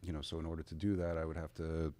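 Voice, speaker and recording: Podcast host guy, really deep voice, kinda mellow, low-key. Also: flat delivery, rapid-fire. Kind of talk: casual conversation.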